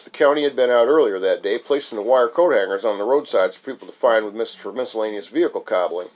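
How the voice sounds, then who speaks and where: A man with a fairly low voice recites a piece of his own autobiographical prose. He reads as the author, in a scripted storytelling style, now and then tripping over a word and picking it back up. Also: darkish tone, rough recording. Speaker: author reading own writing aloud. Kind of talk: scripted first-person narrative reading, recitation.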